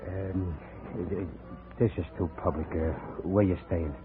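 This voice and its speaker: An actor playing a slow-witted losing prizefighter in a 1950s radio drama, halting, stammering and ungrammatical. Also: male voice, low voice, fairly even intonation. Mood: nervous, evasive. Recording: tinny old radio recording, crowd noise.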